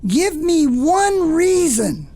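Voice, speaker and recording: A man playing an older, excitable, proud shop owner in a radio play, commanding, self-important and sarcastic. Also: very high voice, normal speed, gravelly, breathy edge, exaggerated voice. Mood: sarcastic, testy.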